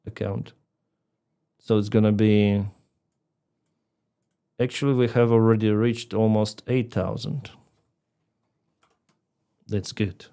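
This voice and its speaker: A man's voice: on the low side, dark tone, clean tone. A man from Crimea with a Ukrainian accent, whose first language is Russian, chatting casually on a live stream, reading out donations and laughing often. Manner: chatty, laughs often, reads out donor names.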